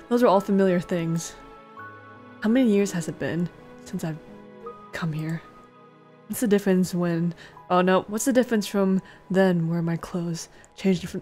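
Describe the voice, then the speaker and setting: A woman's voice: lowish voice, darkish tone. A woman doing a let's play, reading visual novel narration aloud with casual asides and the odd stumble. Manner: stumbles over words and corrects herself while reading. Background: game music.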